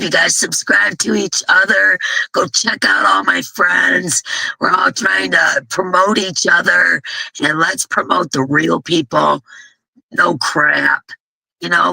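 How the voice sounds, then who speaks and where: A blunt, chatty woman hosting her crochet stream, her voice hoarse and gravelly, with a husky edge. The tone is very bright and forward, and the sound is dry and close. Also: fairly low pitch, dry recording, poor audio. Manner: blunt, chatty, rambling, with frequent restarts. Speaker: host of a crochet live stream. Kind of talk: casual live-stream chat.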